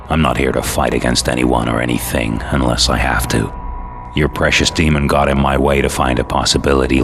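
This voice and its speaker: Extremely deep-voiced, brooding antihero. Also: male voice, creaky. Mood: sardonic, defiant. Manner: brooding, sardonic, deadpan. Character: dead male killer trapped in hell, cynical, defiant antihero. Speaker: protagonist and narrator. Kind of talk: dramatic scripted game narration. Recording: music behind the voice.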